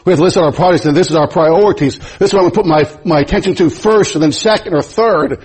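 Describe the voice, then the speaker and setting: A man preaching a sermon, a native German speaker, who climbs into high emphatic passages and chuckles between phrases. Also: fast talker, a little mellow, husky.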